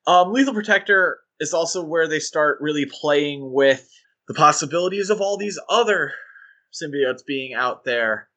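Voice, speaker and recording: A man co-hosting a podcast, talking in a casual, animated, rambling way with fillers and restarts. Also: a little high, normal speed. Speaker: podcast co-host.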